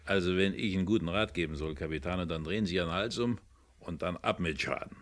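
An actor playing an eager, blunt accomplice in a German radio crime drama. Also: male voice, deep voice, quick pace, natural voice. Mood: annoyed, blunt. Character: eager, brash male accomplice in treasure diving. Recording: radio play with noisy outdoor ambience.

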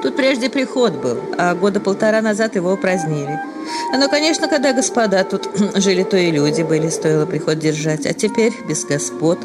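A woman's voice: fairly low pitch, animated, fairly quick, lightly acted. A woman playing a plaintive village sexton's wife, music behind. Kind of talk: Russian radio play of a classic story.

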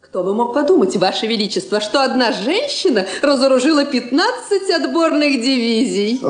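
An arch, exclamatory society countess. Her high voice moves animatedly through each phrase in a roomy recording. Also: big pitch swings, moderate pace. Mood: arch, delighted. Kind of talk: Soviet stage drama acting. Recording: roomy old drama recording.

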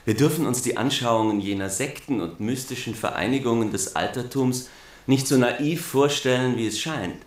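An actor playing a learned classics teacher, lecturing in a formal, didactic way. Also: male voice, medium pitch, moderate pace, close to an everyday voice. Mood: scholarly. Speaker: schoolteacher. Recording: echoey room, faint noise.

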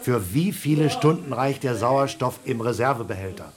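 A man's voice: mid-range voice, lively intonation, moderate pace, a crowd in the background, close to an everyday voice. An actor playing an anxious space crewman in a radio play, pressing urgent questions in an agitated way. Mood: alarmed. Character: anxious male space crewman short of air.

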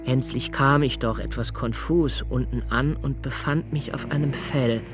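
A middle-aged man giving a quiet, measured, inward first-person narration in a literary radio play, recorded in a studio. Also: mid-range voice, steady tone, music in the background.

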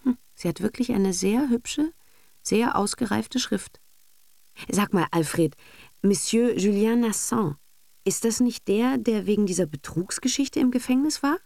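A woman's voice: mid-range voice, unhurried, creaky, a little mellow, no room sound, pitched above the performer's own voice. A woman voicing an anxious, socially ambitious middle-aged wife who murmurs, sighs and frets, in a literary audiobook reading. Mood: curious. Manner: murmuring, sighing, fretful. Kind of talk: literary audiobook character acting.

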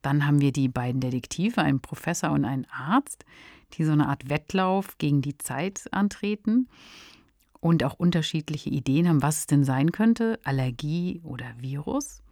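A woman's voice: deep voice, lively intonation, moderate pace, a little mellow, dry recording. A woman hosting a radio podcast, presenting and explaining in a studio. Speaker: podcast host. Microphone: close studio microphone.

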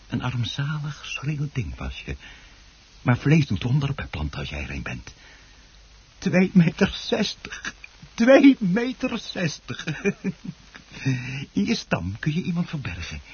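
An actor playing an obsessive, unhinged plant grower in a radio drama, muttering and repeating himself between hushed passages and outbursts. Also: male voice, a little high, breathy edge, exaggerated voice. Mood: pleading, frustrated. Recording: dull, muffled old radio play recording.

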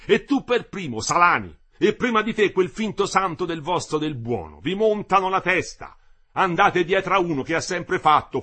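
Storybook figure: man reading as a furious, tired older male engineer; high, loud, husky harangue. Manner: shouting, scolding.

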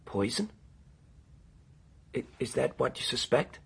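A man's voice: medium pitch, big pitch swings, slow pace, vocal fry, fairly bright tone, slightly rough, heavily acted. An actor playing a nervous, defensive young man under suspicion in a radio drama, faltering as he explains himself. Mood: shaken. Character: nervous, defensive young male murder suspect.

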